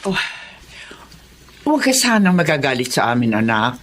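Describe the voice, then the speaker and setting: A woman's voice: rumbling low, sing-song, normal speed. An actress playing a pushy but caring older mother who lectures her son. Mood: coaxing. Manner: coaxing, lecturing.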